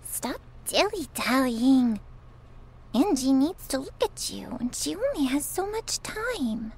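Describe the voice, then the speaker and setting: A woman's voice: high-pitched, big pitch swings, takes it slowly, darkish tone, slight background noise, full character voice. A woman playing a sweet, anxious young caretaker in an ASMR roleplay, speaking in a girlish, coaxing and submissive way.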